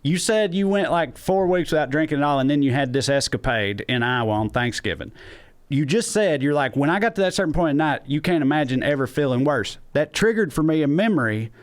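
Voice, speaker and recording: A man's voice: fairly high pitch, expressive, a little fast. A man with a Southern US accent talking casually as a comedy podcast co-host, with a wry way of questioning.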